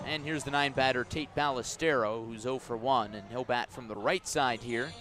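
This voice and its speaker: A man calling baseball play-by-play for radio, conversational and storytelling between pitch calls, with clearly structured sports commentary delivery. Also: normal speed, fairly bright tone, soft background music.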